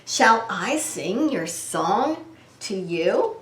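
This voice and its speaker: A woman's voice: medium pitch, sing-song, very slow, fairly bright tone, echoey room, heavily acted. A woman voicing a young male lion cub, eager and childlike, in a children's storybook. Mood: apologetic, eager.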